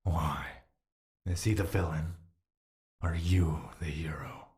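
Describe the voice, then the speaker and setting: A man acts as a prince's calm confidant in an audio drama. His voice is extremely low, and he speaks in measured, terse phrases with a probing, inquisitive manner. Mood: cool, questioning.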